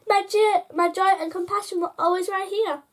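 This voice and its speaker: A woman reader puts on a grumbly old man from a picture book. The voice rides high and swells in rhyming exclamations, full of a gloomy bafflement that can soften into cheer. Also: steady tone, normal speed. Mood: joyful. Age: older.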